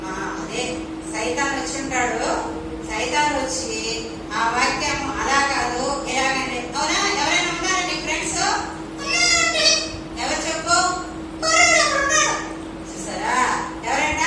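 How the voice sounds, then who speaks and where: A woman's voice: high voice, normal speed. A woman presenting an interactive children's puppet show, asking prompting questions and repeating answers to the audience. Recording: distant, very echoey hall, loud noise.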